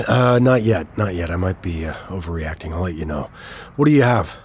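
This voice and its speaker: A man's voice: sits low, animated, normal speed, slightly rough. An actor playing a guarded, worried man investigating in an audio drama, terse, hesitant and full of questions. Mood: guarded concern.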